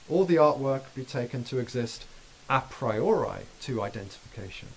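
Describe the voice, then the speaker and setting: A man's voice: mid-range voice, slight background noise, rough recording. Man reading a scholarly keynote. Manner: measured, dense scholarly phrasing. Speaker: keynote speaker. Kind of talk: formal academic lecture read aloud. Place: dim lecture hall with projection screen.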